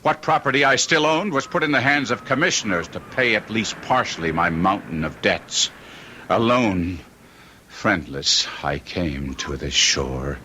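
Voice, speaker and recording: A low-voiced young nobleman, ruined and desperate, pours out his story in a radio play. His formal, melodramatic delivery swings widely in pitch, with a bright forceful edge on a noisy old recording. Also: animated, measured pace. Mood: despairing. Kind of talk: old-time radio drama acting.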